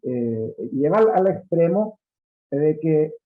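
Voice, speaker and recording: Halting high-voiced man on dull audio. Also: lively intonation. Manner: halting, many drawn-out fillers. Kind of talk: seminar discussion.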